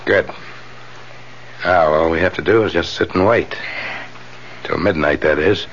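Deep-voiced, courteous, sinister lodger. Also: male voice, a little slow, a bit bright. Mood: calmly sinister. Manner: polite, smooth-talking, probing. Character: courteous, quietly menacing male insurance salesman and lodger. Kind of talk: 1970s radio drama acting. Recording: dull, noisy 1970s radio recording.

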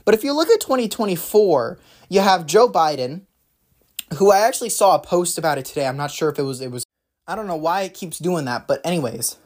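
A man hosting a solo talk show, rambling through his views with many fillers and restarts, his voice slightly raspy from a runny nose. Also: high-pitched, lively intonation, brisk. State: runny nose, maybe a cold. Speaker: podcast host. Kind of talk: casual solo political commentary.

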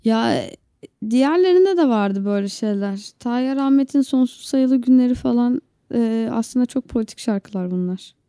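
A woman's voice: a little high, expressive, normal speed, dark tone, clear, resonant tone, no echo, studio quality. A woman co-hosting a podcast in casual, joking conversation. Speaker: podcast co-host.

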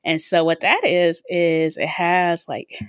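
Brief: woman podcast co-host, slightly low voice, rambling casual chat, dry close mic. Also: fairly even intonation, slow, clean tone, no room sound. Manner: chatty, lots of likes and ums.

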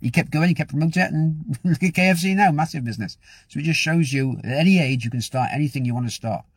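A man's voice: on the high side, fast talker, slightly rough, dry recording. A man giving an upbeat, rambling motivational talk as host of his own show. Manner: upbeat, rambling with frequent restarts. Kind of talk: casual motivational talk to camera.

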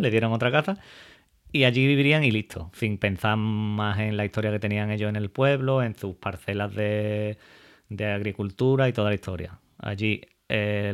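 A man hosts his own podcast. His voice sits fairly low, and his talk is loose and informal, full of fillers and restarts. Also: clean tone. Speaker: podcast host. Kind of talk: casual podcast chat.

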